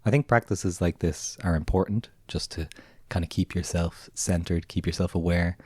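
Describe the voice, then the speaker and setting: A raspy Irish man, hoarse from a cold, talks through his podcast in a deep, mellow voice. He keeps a flat, even tone and meanders through his points with plenty of filler words, recorded close and dry. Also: moderate pace, dry recording. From Ireland. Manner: relaxed, meandering, many "kind of" fillers. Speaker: podcast host and gardener. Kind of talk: casual spoken monologue.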